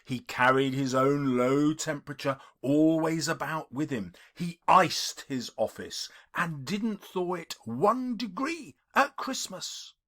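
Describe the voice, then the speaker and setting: A male audiobook narrator with a fairly high, very expressive voice. He reads literary prose theatrically, taking his time and leaning on key words with emphasis. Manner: theatrical, emphatic, measured phrasing. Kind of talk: dramatic scripted literary narration.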